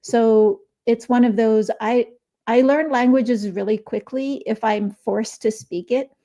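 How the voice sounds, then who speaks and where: Middle-aged woman guest, mellow and fairly high, chatty and rambling, over a video call. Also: fairly even intonation, a little slow. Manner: chatty, explains at length with many fillers. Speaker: guest author. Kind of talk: casual interview chat. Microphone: remote video call.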